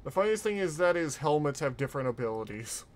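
A man's voice: fairly high pitch, sing-song, fast. A man streaming casual reaction commentary, amused and keen to explain. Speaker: streamer reacting to game clips.

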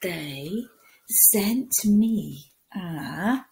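Very slow, expressive woman reading to children. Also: fairly low pitch, gravelly. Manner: expressive, playful, with dramatic pauses and hushed asides. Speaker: primary school teacher reading a picture book. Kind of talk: animated children's story reading. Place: small room at home, indoors.

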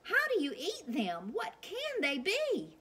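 A woman voicing puzzled, excitable storybook townspeople, exclaiming and questioning. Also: extremely high pitch, takes it slowly, pitched above the performer's own voice, full character voice. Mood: baffled.

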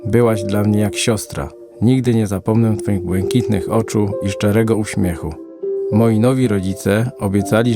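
A man playing an affectionate, grateful boy reading out his letter in a children's audio fairy tale. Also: on the low side. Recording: loud music under the voice.

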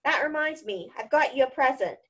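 A woman's voice: high voice, expressive, brisk, fairly bright tone, voice pushed up. Woman acting out a huge remorseful bearded man. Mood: subdued, sentimental. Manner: emotional, blunt, colloquial.

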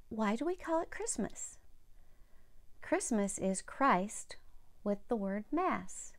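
A woman in her fifties reading a story aloud to young children and gently explaining it in simple words. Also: medium pitch, dry recording. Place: indoors, home room with bookshelves. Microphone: lapel mic.

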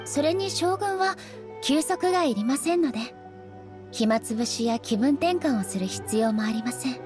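A woman's voice: sits high, lively intonation, moderate pace, faint music behind. A woman playing a dignified, composed female shogun in a video game, speaking formally and politely. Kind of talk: anime-style video game dialogue acting.

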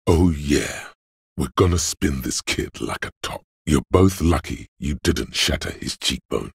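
An actor playing the gruff, domineering inner voice of physical strength in a video game, raspy and deliberate, narrating like a tough coach. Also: male voice, lively intonation, dry recording, exaggerated voice. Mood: measured, ominous. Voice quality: very deep, gravelly, raspy. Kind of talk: scripted game narration, dramatic second-person.

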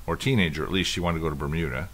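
A man's voice: extremely low pitch, very fast, a bit bright. Rambling man in his fifties, casual co-host. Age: 50s. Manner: rambling storyteller with many restarts. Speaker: podcast co-host. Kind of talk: casual chat.

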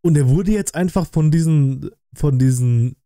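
A man's voice: on the high side, unhurried, dark tone, dry recording, studio quality. A man in his thirties giving casual live reaction commentary as a video host from a small room. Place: small room indoors at a desk.

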